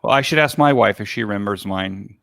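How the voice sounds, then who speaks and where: A man's voice: fairly low pitch, a little fast, vocal fry, a bit bright, no echo, studio quality. A man speaking as an interview guest and event organizer, explaining things conversationally, step by step.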